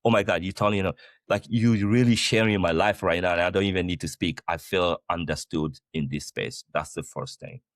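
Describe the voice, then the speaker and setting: Brief: man from Guinea with a very low voice, a guest in a dry, close recording, talking loosely and animatedly, full of "like" and rephrasing. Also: brisk, no room sound. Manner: animated, speaks with many "like" fillers and rephrasing. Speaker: podcast guest, company founder. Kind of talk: casual conversational interview. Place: the Netherlands.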